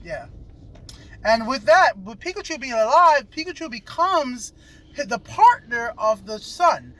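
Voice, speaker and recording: A man's voice: very high-pitched, big pitch swings, a little slow, fairly bright tone. A man giving a casual, chatty movie review as host, checking that his companion agrees, recorded in a car while driving. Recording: noisy in-car recording with road noise. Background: car and road noise.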